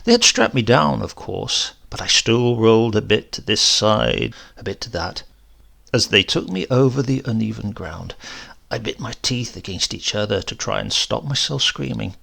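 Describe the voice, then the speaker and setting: A man reading a horror story as a shaken, rambling accident survivor, halting and conversational, brooding and prone to outbursts. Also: lowish voice, lively intonation, vocal fry, fairly bright tone, full character voice. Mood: haunted, confiding. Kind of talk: dramatic first-person horror story reading.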